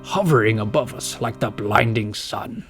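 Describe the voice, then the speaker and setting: A man playing an eccentric, pompous old rich man who talks slowly in an old-fashioned, theatrical way. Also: lowish voice, a bit bright, faint music behind.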